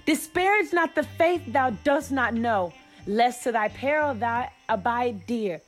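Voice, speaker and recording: A woman's voice: on the high side, animated, a little slow, faint music behind. A woman playing a besotted, haughty young male lover in a Shakespearean verse radio play, declaiming grandly. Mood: haughty, dismissive.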